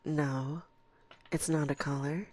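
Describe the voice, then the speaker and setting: Breathy, low-voiced teasing witch mistress, acted by a woman. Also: steady tone, darkish tone. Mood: coaxing, playful. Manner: teasing, condescending, slow and deliberate, often slipping into whispers. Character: teasing, domineering forest witch mistress, long-lived. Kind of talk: ASMR roleplay. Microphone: close binaural microphone.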